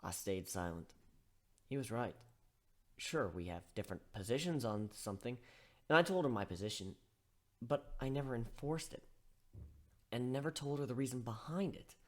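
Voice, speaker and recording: A man's voice: mid-range voice, animated, moderate pace, long pauses. A man reading a first-person story aloud as an amateur dramatic narrator.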